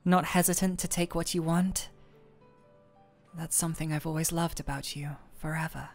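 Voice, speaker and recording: A young woman playing a shy, smitten former coworker in an intimate romantic audio roleplay, speaking softly and haltingly. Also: sits low, steady tone, faint music behind. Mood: tender, content. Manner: shy, halting, soft-spoken.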